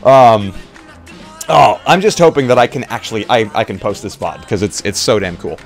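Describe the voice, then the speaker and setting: A man's voice: on the low side, expressive, normal speed. A man chatting casually on a livestream, rambling and hesitant, often repeating his phrases. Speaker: streamer and roleplay player. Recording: music playing behind. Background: music.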